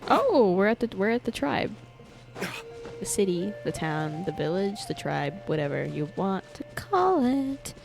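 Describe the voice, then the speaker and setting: A young woman streams a game from her room, talking slowly with a pitch that swoops all over. She giggles often, swears freely and jumps into loud startled outbursts. Game music plays faintly underneath. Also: very animated, vocal fry. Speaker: gaming streamer. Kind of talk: casual gameplay commentary. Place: home room.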